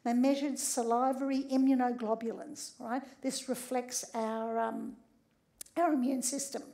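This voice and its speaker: A woman, giving a lively talk on a theatre stage. Her voice is a bit croaky, and she keeps checking in with the audience with a quick "right?". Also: on the high side. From Australia. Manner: conversational, often tags lines with "right?". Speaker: speaker giving a public talk. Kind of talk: lively public lecture.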